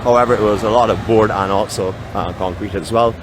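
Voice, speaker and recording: A man's voice: fairly low pitch, fairly even intonation, fairly quick. A Barbadian man hosting a live walking-tour vlog, chatting and joking about himself as he walks outdoors by a beach boardwalk, phone in hand. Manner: chatty, joking self-mockery. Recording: outdoor street noise behind.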